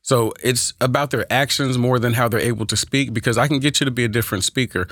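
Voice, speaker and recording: A man's voice: medium pitch, fairly even intonation, fast, a bit bright, no echo. Man, Oakland accent, mild stutter and lisp, earnest coach, dry close sound. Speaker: speech and debate coach. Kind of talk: conversational interview. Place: small room.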